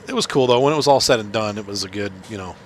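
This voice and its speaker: A man's voice: on the low side, rapid-fire, slight background noise. A middle-aged man with a cold that makes him sound nasal, chatting casually and rambling as he plays a farming game. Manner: rambling, chatty, many fillers and restarts. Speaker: gaming channel host. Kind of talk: casual commentary while playing.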